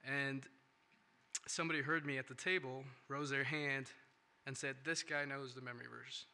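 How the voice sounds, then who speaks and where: Bright-voiced young man giving testimony. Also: mid-range voice, steady tone, moderate pace. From India. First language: non-English first language. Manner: earnest, rambling with restarts and "right?" tags. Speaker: church speaker. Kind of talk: personal testimony talk. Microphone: handheld or stand microphone.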